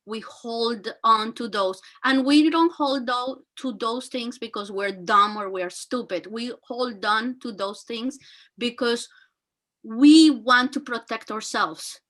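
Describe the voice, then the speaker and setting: A woman with a very high, bright and forceful voice talks earnestly, her full of fillers and restarts. She speaks as a teacher and guest, giving motivational advice. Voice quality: very high-pitched voice. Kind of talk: motivational talk.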